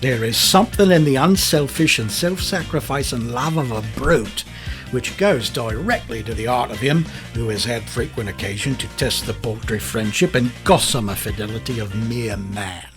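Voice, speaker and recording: A man's voice: medium pitch, moderate pace, music in the background, lightly acted. An actor playing a chummy, unhinged London man on trial, justifying himself in a radio drama monologue. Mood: wistful.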